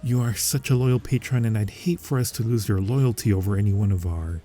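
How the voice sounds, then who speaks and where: Mellow, fawning male restaurant manager played by a man. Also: on the low side, a little fast, lightly acted. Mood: smooth, probing. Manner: formal, unctuous customer-service politeness. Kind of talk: ASMR roleplay. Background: music.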